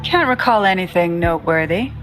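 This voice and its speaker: An actress voicing a gruff, streetwise exile in a video game, with a blunt, offhand manner. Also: female voice, on the low side, lively intonation, fairly quick, music in the background, close to an everyday voice. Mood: offhand, casual. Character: gruff, streetwise woman exile with shady dealings. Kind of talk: English video game voice acting.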